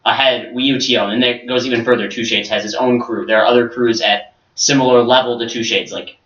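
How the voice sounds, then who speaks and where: A man co-hosting a casual podcast, setting up topics and adding quick remarks. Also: medium pitch, steady tone, a bit bright. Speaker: podcast co-host. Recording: roomy, distant, dull mic.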